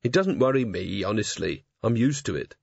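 A man's voice: lowish voice, lively intonation, normal speed, gravelly, darkish tone, breathy edge, heavily acted. A man voicing a guarded young werewolf woman who speaks curtly and dryly. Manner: dry, curt. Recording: slightly dull lo-fi audio.

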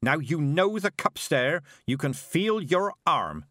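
A man's voice: fairly high pitch, sing-song, slow, sharp tone, dry recording, pitched above the performer's own voice, full character voice. Snappy bossy old witch lady, a guy doing her, voice jumps all over, dry sound. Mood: commanding. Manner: curt, commanding, snaps orders. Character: stern sharp-tongued old woman witch.

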